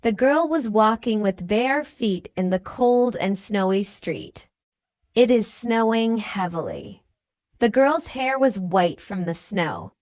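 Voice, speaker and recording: Expressive woman narrating, slow and animated. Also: medium pitch, no room sound, clean studio sound. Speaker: children's story narrator. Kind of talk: scripted storybook narration.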